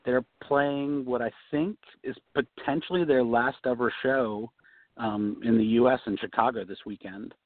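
A man being interviewed as a guest designer, relaxed, talking with many you-knows and ums. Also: mid-range voice, a little slow, no echo. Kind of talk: casual interview answers. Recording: tinny phone-line sound.

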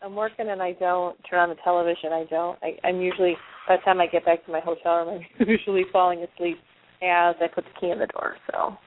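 A woman's voice: fairly low pitch, steady tone, quick pace. Woman co-host on a thin phone line. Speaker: radio show co-host. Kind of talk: casual chat. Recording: narrow phone line, faint noise and clicks.

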